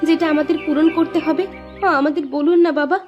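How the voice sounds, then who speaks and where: A woman voicing a concerned, dutiful village housewife in a moral-story cartoon, expressive and questioning. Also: sits high, brisk, dull audio, lightly acted. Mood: anxious, confused. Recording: music behind the voice.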